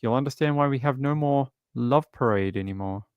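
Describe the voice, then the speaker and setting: A man's voice: medium pitch, fairly quick, clear, resonant tone, dry recording, muffled recording. A man from Sydney with an Australian accent, hosting a livestream in casual, rambling chat with his viewers. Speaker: livestream show host.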